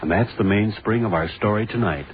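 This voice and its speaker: A man introducing a drama as host in scripted vintage radio style, measured and musing. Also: on the low side. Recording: tinny, noisy vintage radio recording.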